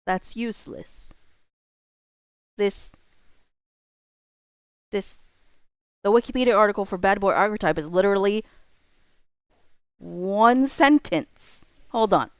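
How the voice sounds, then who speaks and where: A woman's voice: medium pitch, slow, pauses often, no echo. A woman livestream host chatting casually and thinking aloud, rambling and often interrupting herself. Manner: rambling, self-interrupting, informal.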